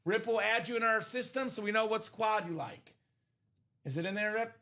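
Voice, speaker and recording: A young man from Bulgaria hosting a live sports card break stream, upbeat and joking, shouting out buyers by name. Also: sits high, normal speed. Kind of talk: casual live-stream sales chat.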